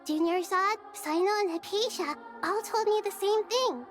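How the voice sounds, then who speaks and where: A high, girlish voice of a wise and gentle young deity for a video game. She speaks in composed, measured phrases, formal yet playful. Faint music plays behind her. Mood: warm, playful. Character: gentle wise childlike female deity and ruler.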